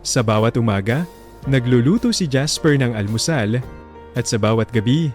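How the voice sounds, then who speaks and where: A man's voice: mid-range voice, darkish tone. A man with a Filipino accent hosting and narrating a scripted radio love story in a reflective, sentimental way. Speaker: radio drama host and narrator.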